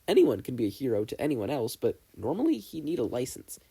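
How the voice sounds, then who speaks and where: A man's voice: mid-range voice, wildly swooping pitch, fairly quick, vocal fry, warm tone, slightly rough, heavily acted. A man voicing an earnest, nerdy teenage boy student who explains things eagerly and at length. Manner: eager, long-winded explaining.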